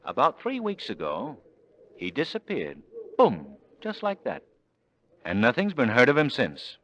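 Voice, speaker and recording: An actor playing a confident, wry amateur sleuth who talks smoothly and sardonically in an old-time radio drama. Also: male voice, medium pitch, wildly swooping pitch, a little slow, a bit bright, slight background noise. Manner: smooth-talking, sardonic, assured. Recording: dull old radio recording.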